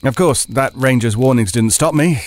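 A man's voice: medium pitch, normal speed, natural voice. A man playing a frightened, weary camper telling his horror story in a hesitant, brooding, confessional way. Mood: uneasy, reflective. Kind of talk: first-person dramatic audio horror storytelling.

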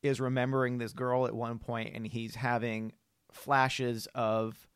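A man hosting a casual podcast conversation, talking in a halting, rambling way with repeated words. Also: mid-range voice, flat delivery, clean tone, dry recording, clean studio sound. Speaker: podcast host.

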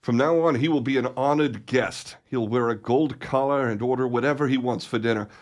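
A man playing a gruffly protective father and boss in a radio play, speaking emphatically. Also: mid-range voice, fairly quick, close to an everyday voice. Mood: relieved, grateful.

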